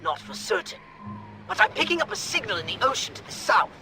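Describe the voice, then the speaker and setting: Irascible alien commander, cartoonish game voice, high-pitched, creaky, animated, pompous, sarcastic. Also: male voice, brisk, over music, full character voice. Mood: pompous, pondering. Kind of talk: cartoonish video game acting.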